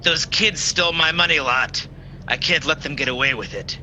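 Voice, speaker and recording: A man's voice: a little high, animated, normal speed, pushed and bright, lo-fi sound. A man playing a ruthless, threatening older street thug in a radio play. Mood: grudging, threatening. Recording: noisy home video-call recording.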